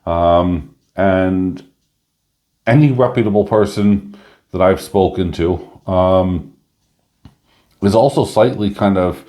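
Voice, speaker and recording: Low, mellow-voiced man reviewing coins. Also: takes it slowly, long pauses. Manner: rambling, chatty, many fillers. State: slightly hoarse. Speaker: coin reviewer. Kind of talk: casual coin review vlog.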